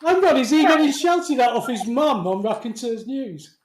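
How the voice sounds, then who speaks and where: Male co-host, high voice, teasing banter, roomy echo. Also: very animated, very noisy. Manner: teasing, laughing, quick interjections. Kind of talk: casual banter on a live online show.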